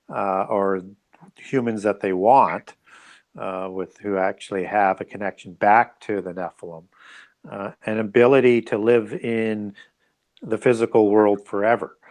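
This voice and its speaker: Man explaining, halting conversational delivery. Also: on the low side. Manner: halting, explanatory, often adds "right?" and "you know". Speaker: interview guest, author. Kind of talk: conversational interview answers on religious conspiracy.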